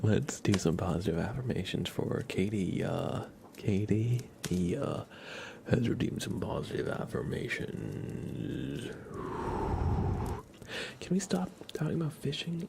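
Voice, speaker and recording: Low, gravelly man hosting hushed ASMR. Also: background noise. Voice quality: soft, often breaking into whispers. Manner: playful, rambling, hushed. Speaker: livestream host. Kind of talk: ASMR livestream chat, reading and answering viewer comments.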